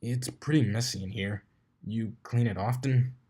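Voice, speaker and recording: A man's voice: on the low side, unhurried, dark tone, breathy edge. A man voicing a cocky, blunt young male pegasus in a cartoon fan story.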